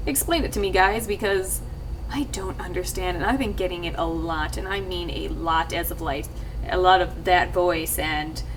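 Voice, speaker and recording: A young woman talking casually to her viewers, rambling with lots of fillers and restarts, her voice a little husky. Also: normal speed. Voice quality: slightly husky, low-ish voice. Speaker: YouTube let's player. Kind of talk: casual talk to camera. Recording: distant mic with faint ambient noise.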